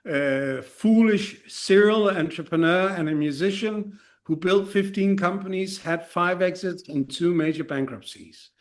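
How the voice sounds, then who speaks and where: A man's voice: high voice, a little slow, hardly any pauses. Danish-accented man, frank guest. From Denmark. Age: 40s. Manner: frank and self-deprecating, many fillers and restarts. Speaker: podcast guest. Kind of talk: casual interview chat.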